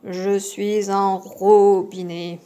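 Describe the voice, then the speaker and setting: A woman voicing a grumpy male faucet with a cold and a blocked nose, grumbling slowly and loudly in a children's learning video. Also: mid-range voice, flat delivery, soft background music.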